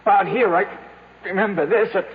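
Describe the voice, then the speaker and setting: A man's voice: high-pitched, brisk, breathy edge. An actor playing an anxious, stammering man trapped in a cave, straining to keep control, in a 1970s radio drama. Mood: controlled, then tense. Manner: stammering, tense. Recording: muffled, noisy 1970s radio broadcast.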